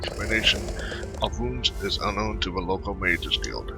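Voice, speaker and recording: A man giving casual, rambling game commentary, halting and repeating his words as he goes. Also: fairly low pitch. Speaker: gaming video host. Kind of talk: casual game commentary and reading quest text aloud. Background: video game music.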